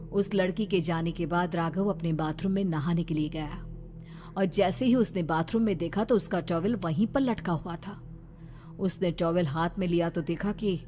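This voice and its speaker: A woman's voice: lowish voice, warm tone. A woman giving dramatic, scripted narration of a horror story.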